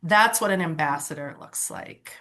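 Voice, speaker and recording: A woman's voice: deep voice, normal speed, a bit bright. A woman from Ohio leading a nonprofit board training workshop, talking conversationally with fillers and self-corrections, and getting enthusiastic when she tells her own story. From Ohio, USA. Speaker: workshop presenter.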